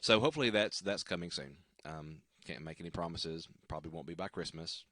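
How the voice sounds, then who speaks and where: Very deep, scratchy-voiced man. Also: a bit bright, no room sound, clean studio sound. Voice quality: deep baritone. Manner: halting, many ums and restarts. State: worn-out, scratchy voice. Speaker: worship singer hosting a livestream. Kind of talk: casual livestream sign-off chat. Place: at home.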